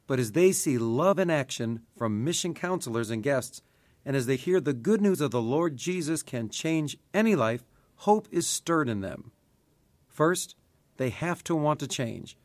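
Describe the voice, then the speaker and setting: A man, the president of a mission, reads a measured, explanatory appeal on the radio. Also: a little high, no echo.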